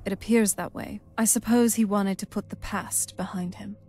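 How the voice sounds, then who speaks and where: A woman's voice: medium pitch, steady tone, normal speed, warm tone, a little hiss or hum, natural voice. An actress playing a wistful, young-looking vampire noblewoman in a video game, speaking in a conversational way. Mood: reflective. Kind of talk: video game character dialogue.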